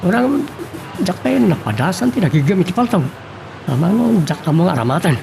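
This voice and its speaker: A man's voice: high voice, sing-song, a little fast, vocal fry, noisy background, full character voice. A man playing a hesitant, protesting friend in an Ilocano audio drama. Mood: uneasy, protesting. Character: hesitant, doubtful novice with guns, protesting to his buddy.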